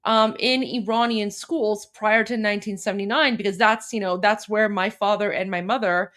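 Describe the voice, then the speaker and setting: Woman, livestream host, chatty, lots of fillers, medium pitch. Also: steady tone, normal speed, a bit bright. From Canada. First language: Persian. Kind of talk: casual livestream talk.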